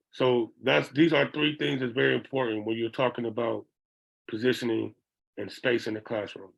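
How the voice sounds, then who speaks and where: Raspy-voiced man giving a workshop lecture. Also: medium pitch, a bit bright, rough recording. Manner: checks in with listeners by asking "okay?". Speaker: behavioral interventionist.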